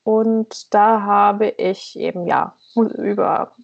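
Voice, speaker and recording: Creaky, husky-voiced woman on dull call. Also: mid-range voice, steady tone, takes it slowly. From Germany. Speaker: podcast guest, emigrant blogger. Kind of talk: casual conversational interview. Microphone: internet call.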